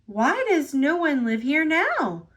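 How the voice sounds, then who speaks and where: Woman voicing an excitable, high-pitched little steam engine. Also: slow, heavily acted. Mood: curious, cheerful. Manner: exclaiming, wide-eyed. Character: eager, excitable young male steam engine, childlike.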